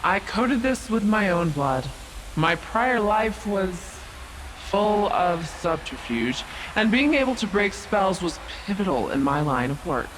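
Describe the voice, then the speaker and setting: A woman playing a proud, cunning otherworldly female stranger in an audio drama, speaking in a measured, formal, dryly sarcastic manner. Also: mid-range voice, lively intonation, background noise, close to an everyday voice. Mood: matter-of-fact, instructive. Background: prominent music.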